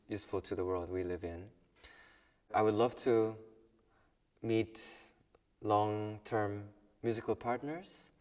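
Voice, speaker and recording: A young man in his twenties from South Korea, a violin student, speaking thoughtfully with pauses in an interview. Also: lowish voice, long reverb tail, distant mic. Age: 20s. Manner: thoughtful, soft-spoken with pauses and small laughs. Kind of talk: personal documentary interview.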